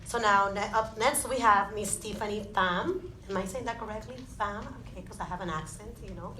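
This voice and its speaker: A woman's voice: medium pitch, a little fast, a crowd in the background. Dominican-accented woman moderating in a hall. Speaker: panel moderator. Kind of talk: introducing speakers on stage. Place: theatre stage.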